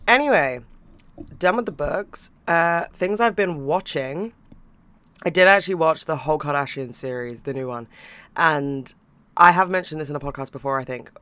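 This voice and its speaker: A young man hosting a podcast, chatting casually about books and TV, swearing freely and laughing now and then, his voice a bit croaky. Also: on the high side, moderate pace, sharp tone, no room sound. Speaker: podcast host.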